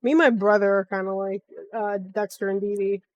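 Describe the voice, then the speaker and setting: A woman's voice: mid-range voice, fairly even intonation, fairly quick. A woman streamer reacting to a video in casual chat, blunt and informal with slangy swearing.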